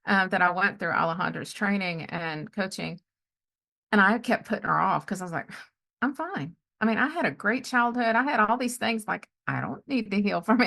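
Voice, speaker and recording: A middle-aged woman chatting casually as a podcast host, telling personal stories of faith in an animated way and often acting out what people said. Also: mid-range voice, vocal fry. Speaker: podcast host and life coach. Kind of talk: casual conversational storytelling with faith testimony.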